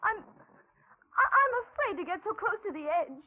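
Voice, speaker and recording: High-voiced frightened waitress, old radio. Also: female voice, sing-song, moderate pace, slight background noise. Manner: tense, hesitant, dramatic. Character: frightened, lonely young waitress held captive, telling her ordeal. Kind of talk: 1940s radio thriller acting and first-person narration. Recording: tinny 1940s radio recording with music.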